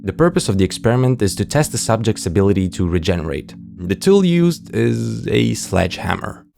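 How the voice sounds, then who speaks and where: A man hosts a scripted video essay in a low voice with noticeable creak. He is understated and wry, dropping casual asides, with faint music underneath. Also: very animated, a little fast, darkish tone.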